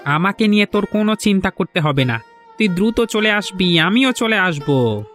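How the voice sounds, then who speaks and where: Man acting henpecked village fisherman, high voice, nagging yet agreeable sidekick, cartoon dialogue, faint music. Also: lively intonation, normal speed, fairly bright tone. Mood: grumbling, plaintive. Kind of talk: animated folk-tale dialogue.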